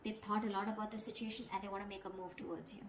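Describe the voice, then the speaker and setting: A woman's voice: medium pitch, steady tone, rapid-fire. A woman giving an intuitive tarot reading straight to the viewer, conversational, often checking in with little tag questions. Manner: conversational, repeats tag questions like okay and you know. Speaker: tarot reader. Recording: echoey room, clear background noise, clicks.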